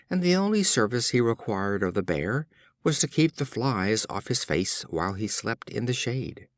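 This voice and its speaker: Man, audiobook storyteller, low pitch, animated intonation, measured expressive narration, dry sound. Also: dry recording. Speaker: audiobook reader. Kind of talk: scripted storybook narration of fables.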